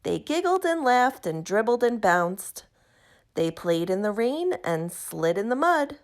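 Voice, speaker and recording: A woman reading a children's picture book aloud in an expressive, deliberate, emphatic way. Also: on the high side. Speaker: storybook reader.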